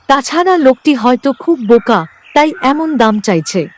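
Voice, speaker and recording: A woman's voice: sits high, lively intonation, normal speed. A woman narrator reading a scripted cartoon horror tale as a storyteller.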